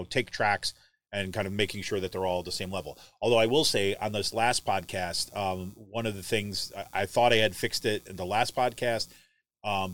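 Male podcast host, lowish voice, casual and rambling, studio mic. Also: a bit bright, low-quality recording. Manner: rambling, many fillers and restarts. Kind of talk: casual chat.

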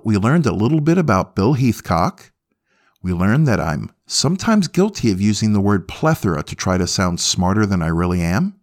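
A man's voice: lowish voice, darkish tone. A man hosting a music podcast in a casual, folksy, self-deprecating way. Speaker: podcast host.

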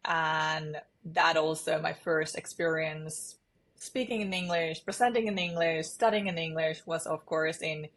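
A woman's voice: sits low, steady tone, unhurried, a bit bright. A woman with a Finnish accent, speaking conversationally as an interview guest. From eastern Finland. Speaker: interview guest, sales leader.

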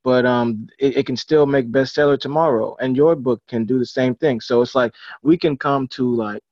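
A man talking casually in an interview as an encouraging coach, halting and repeating words as he goes. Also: mid-range voice, a little mellow, no room sound. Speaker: book publisher and author coach. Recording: dry, dull lo-fi audio.

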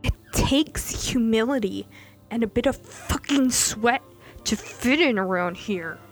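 A woman voicing a stern, foul-mouthed male pirate enforcer who curses and shouts threats in an audiobook-style story reading. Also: a little high, expressive, slow pace, faint music behind. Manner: gruff, cursing, shouting threats.

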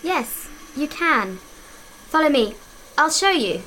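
A woman's voice: high voice, sing-song, takes it slowly, background noise, exaggerated voice. A woman voicing a terse young forest-dweller who speaks in short, broken phrases. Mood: solemn. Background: music.

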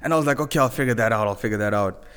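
A man's voice: medium pitch, very fast, fairly bright tone, long reverb tail. A young man with a Zimbabwean accent, a podcast guest telling stories in a laid-back, easygoing way. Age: young adult. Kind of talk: casual storytelling.

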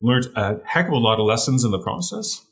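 A man in his forties with a deep voice recounts his path into business as an interview guest. He speaks earnestly and at an ordinary pitch, stumbling over repeated words as he gathers his thoughts. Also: animated, a little fast. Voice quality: deeper voice. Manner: earnest, repeats words while searching for phrasing. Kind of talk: reflective storytelling in an interview.

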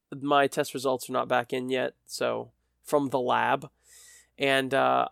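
A man's voice: mid-range voice, gravelly, fairly bright tone, clean tone, no room sound, studio quality. A man hosting his own podcast, tired and stuffy with a cold, rambling with self-mocking humor. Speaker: podcast host. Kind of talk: solo podcast chat. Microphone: podcast microphone.

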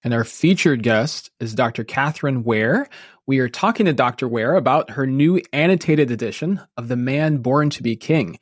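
A man hosting a podcast with measured, articulate phrasing, in the style of an educator presenting and interviewing. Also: medium pitch. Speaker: podcast host; university teacher. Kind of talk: scripted podcast introduction, then conversational interviewing.

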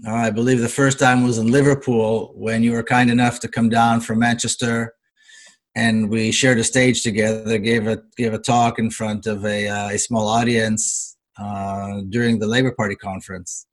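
A man's voice: lowish voice, level tone, moderate pace. A man interviewing a guest in a casual, conversational way, searching for his words as he goes.

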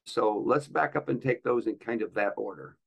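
A man's voice: medium pitch, moderate pace, creaky, dull audio. A man hosting a podcast as a pastor, introducing and questioning a guest in conversation. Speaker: podcast host. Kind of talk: conversational interviewing.

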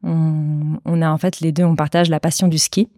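A woman's voice: low-pitched, flat delivery, moderate pace, warm tone, dry recording, clean studio sound. A woman, telling her own story casually as a podcast guest. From Switzerland. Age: 30s.

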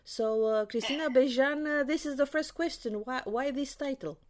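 A woman's voice: sits high, moderate pace. A Romanian woman with a Romanian accent, introducing her guest in English and asking questions with frequent hesitations. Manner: hesitant, many fillers, welcoming. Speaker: interviewer.

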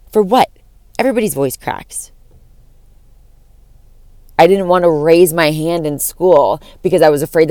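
Young woman with a deep voice, podcast rambling, lots of "like", swears a bit, smooth clear sound, dry close mic. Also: darkish tone, dry recording. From Florida. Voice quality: deep voice for a woman, cracks sometimes. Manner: rambling, profane, full of "like" fillers. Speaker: podcast host. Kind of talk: casual solo podcast musing.

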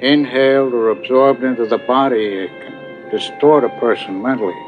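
A plain-spoken male seller in a 1980s TV soap, played by an actor, cautioning and matter-of-fact, mid-pitched. The audio is muffled and music plays clearly behind him. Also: fairly even intonation, normal speed, natural voice. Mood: wary, cautioning. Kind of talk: 1980s television soap drama acting.

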